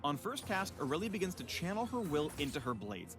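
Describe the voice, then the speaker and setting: A man's voice: a little high, sing-song, a little fast, over music. A man reading scripted promotional tutorial narration for a video game spotlight, with playful wording.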